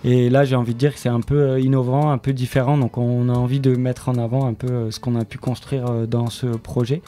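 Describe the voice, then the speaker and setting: Mellow, hesitant man in interview. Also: mid-range voice, flat delivery. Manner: hesitant, halting delivery. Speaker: studio member presenting an audio fiction series, interview guest. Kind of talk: spontaneous radio interview.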